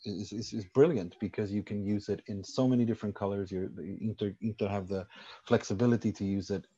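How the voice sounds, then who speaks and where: A man's voice: on the low side, steady tone, fairly quick, dark tone, husky, lo-fi sound. A man from Sweden, a football journalist guesting on a podcast, explaining his views at length with firm confidence. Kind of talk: casual podcast discussion.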